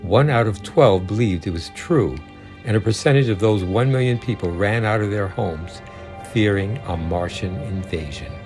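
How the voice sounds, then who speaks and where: A man's voice: on the low side, normal speed. A man reading scripted documentary narration of true stories. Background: music.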